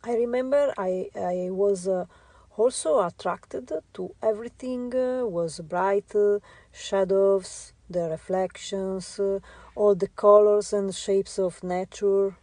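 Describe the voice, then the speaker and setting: A woman with an Italian accent, a visual artist answering interview questions in a halting way with many filler sounds. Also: mid-range voice, dark tone, no echo. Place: radio studio.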